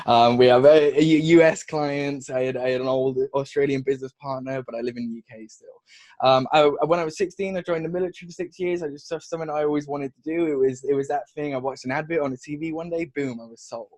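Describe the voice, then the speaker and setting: A man's voice: a little high, fast, no echo. A young man from Wales with a mixed, drifting Welsh accent, chatting energetically as a podcast guest. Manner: energetic, rambling with restarts. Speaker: guest, funnel agency founder. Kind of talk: casual podcast chat.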